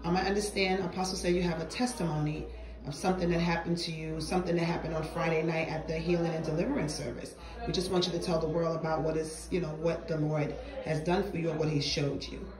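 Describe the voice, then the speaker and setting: A woman acting as a church interviewer, speaking in a measured, formal, devout way from off camera. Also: low-pitched, level tone, crowd noise. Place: indoor room, off camera. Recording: echoey room.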